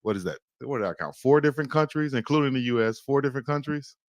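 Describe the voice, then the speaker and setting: A man hosting an interview show, asking brisk, leading questions. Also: medium pitch, lively intonation, no room sound, clean studio sound. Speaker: show host. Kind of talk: casual interview.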